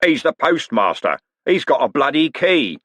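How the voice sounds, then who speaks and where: A man doing a gruff, bossy coachman. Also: a little high, big pitch swings, normal speed, fairly bright tone, no echo, voice pushed up. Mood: scornful. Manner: gruff, rough-spoken, mock-polite to superiors. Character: blunt working-class male coachman, quick and bossy.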